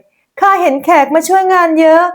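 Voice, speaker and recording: A woman's voice: very high-pitched, steady tone, moderate pace, voice pushed up. A woman voicing a meek, kind-hearted young daughter-in-law in a folk tale, speaking politely and submissively. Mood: meek, obedient.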